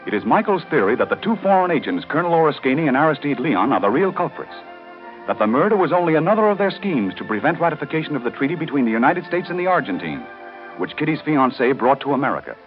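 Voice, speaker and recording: A man's voice: a little high, sing-song, fast talker. A man narrating a 1930s radio soap opera from a script, in a formal, dramatic way. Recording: dull 1930s radio recording. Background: faint music.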